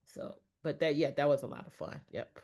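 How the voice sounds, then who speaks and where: A woman in her fifties co-hosting a casual talk show, lively and quick to laugh, with folksy phrasing. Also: low voice, vocal fry, dull audio. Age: 50s. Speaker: podcast co-host. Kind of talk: casual chat.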